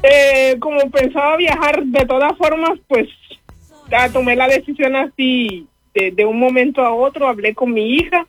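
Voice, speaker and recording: A woman's voice: high-pitched, normal speed, soft background music. A woman in her thirties with a Colombian accent, chatting casually and politely as a caller being interviewed. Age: 30s. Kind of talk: casual chat.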